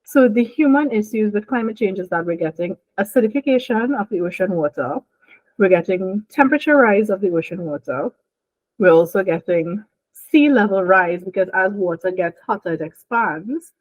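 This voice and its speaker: A woman's voice: mid-range voice, animated, moderate pace, darkish tone, no echo. A young woman from Trinidad and Tobago, a marine biologist talking casually and laughing often as she explains things in an interview. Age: young adult. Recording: dry, phone-like line.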